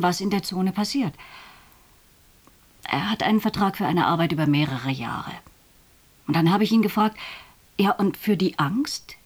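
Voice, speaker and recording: Female interviewee, medium pitch, telling a first-hand account. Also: husky.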